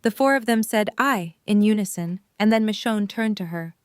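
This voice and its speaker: A woman's voice: medium pitch, normal speed, smooth, no room sound. A woman narrating a scripted story as the narrator of a fan audio drama. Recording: light noise and hum.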